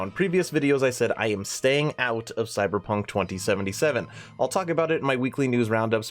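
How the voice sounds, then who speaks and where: A man hosting a gaming news channel, sounding nasal from a cold, talking earnestly in a conversational way. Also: mid-range voice. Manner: earnest, conversational, reads a forum post aloud. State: has a cold.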